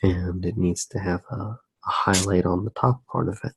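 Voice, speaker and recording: A man softly narrating his drawing for ASMR, hushed, unhurried and musing as he works. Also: sits low, steady tone, husky.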